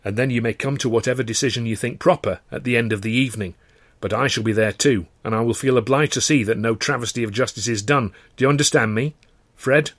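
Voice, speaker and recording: A man's voice: mid-range voice, fast talker, dry recording, natural voice. A man voicing a firm, coldly controlled character who stands up to a bully in a storybook.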